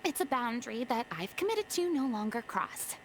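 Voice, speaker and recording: An actress playing a proud, theatrical young former goddess in a video game, haughty yet self-deprecating. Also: female voice, a little high, big pitch swings, normal speed, slight background noise. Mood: wistful, resolute. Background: game music.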